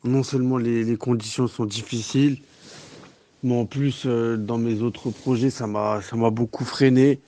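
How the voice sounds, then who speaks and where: Measured young delivery man, mid-pitched. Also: steady tone, warm tone. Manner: measured, many fillers and restarts. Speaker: pizza delivery driver. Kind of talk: personal testimony.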